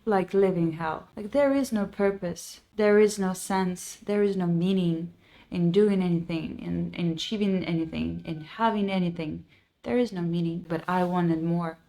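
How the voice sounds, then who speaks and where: A woman's voice: on the low side, normal speed, vocal fry, dark tone. Woman host from Ukraine, thirties, earnest and reflective solo storyteller with phrasing. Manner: earnest and reflective, with frequent restarts and non-native phrasing. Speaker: podcast host and life coach. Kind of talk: personal storytelling monologue.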